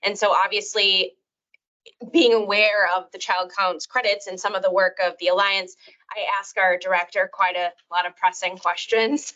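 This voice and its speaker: A woman speaking as a parent on a panel, chatty and informal, with a loud voice. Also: mid-range voice, highs cut off. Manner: chatty, informal, says she has a loud voice. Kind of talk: panel discussion. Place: event room with audience.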